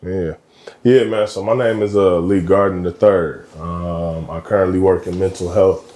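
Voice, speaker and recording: Low mellow-voiced guy in his 30s from michigan, laid-back slangy podcast guest, lots of ums, echoey room. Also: fairly even intonation, moderate pace. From Michigan, USA. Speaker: guest, college basketball coach. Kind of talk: casual interview chat.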